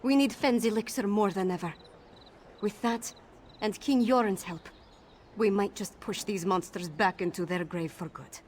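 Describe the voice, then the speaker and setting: A woman voicing a resolute young princess in a video game, speaking in a firm, earnest and measured way over game music. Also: mid-range voice, a little hiss or hum, lightly acted. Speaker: princess of the city.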